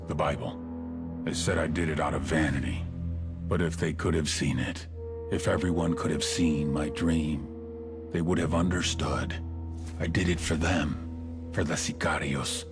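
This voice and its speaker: A proud, menacing crime lord with a very low, gravelly voice. He speaks with grave authority, as in a dramatic game narration, brooding and commanding over clear background music. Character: brooding, menacing, proud male cartel boss. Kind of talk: dramatic scripted narration and dialogue.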